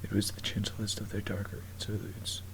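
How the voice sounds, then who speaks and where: A man's voice: fairly low pitch, completely flat delivery, a little fast, gravelly, slight background noise. A man giving a dramatic reading of written fiction as an amateur narrator. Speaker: amateur story reader.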